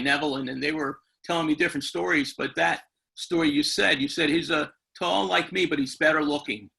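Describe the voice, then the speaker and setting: A man's voice: a little high, vocal fry, sharp tone, low-quality recording. A man hosting an informal webinar interview, rambling and circling back, often seeking agreement from his guests. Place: remote webinar call.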